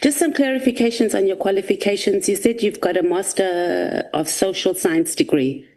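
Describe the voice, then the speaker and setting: A woman asking a witness measured questions at a formal hearing, pausing often. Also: medium pitch, a little mellow. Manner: measured, with frequent "uh" pauses. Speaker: committee member questioning a witness.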